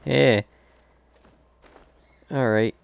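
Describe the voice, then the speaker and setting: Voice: mid-range voice, fairly even intonation, extremely slow, creaky, clean tone. A game streamer giving casual, chatty playthrough commentary and reacting aloud to the game.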